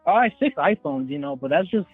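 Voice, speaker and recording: A man's voice: a little high, animated, brisk, breathy edge, soft background music. A young man in his twenties calling in by phone, rambling casually and hedging with lots of "like.". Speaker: caller. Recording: tinny phone line.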